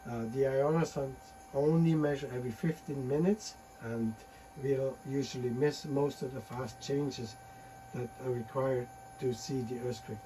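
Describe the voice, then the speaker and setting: A man giving a technical conference talk from his slides, halting often with uh and restarting words. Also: medium pitch, fairly even intonation, background noise. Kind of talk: technical presentation, reading from slides.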